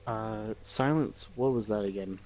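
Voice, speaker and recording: Hesitant man with a lowish voice. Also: unhurried, a little mellow, rough recording. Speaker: tabletop role-playing game player. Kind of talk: casual game chat.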